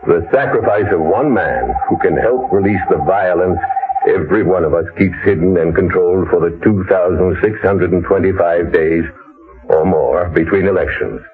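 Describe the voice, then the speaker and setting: An actor playing a solemn, chilling older town patriarch in an old-time radio drama, measured and formal in delivery. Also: male voice, low voice, faint music behind. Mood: solemn, insistent. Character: solemn, chilling town elder, father justifying ritual sacrifice. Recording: muffled vintage radio recording.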